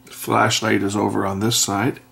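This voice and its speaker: A middle-aged man with a fairly low voice and plenty of gravelly creak talks casually through hands-on electronics work. He speaks haltingly, thinking aloud as he goes. There is faint noise behind him, with small clicks from the workbench. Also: little pitch movement, fairly bright tone. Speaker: electronics repair presenter. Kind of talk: casual hands-on teardown narration. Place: indoor workbench. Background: faint noise, clicks of handled parts.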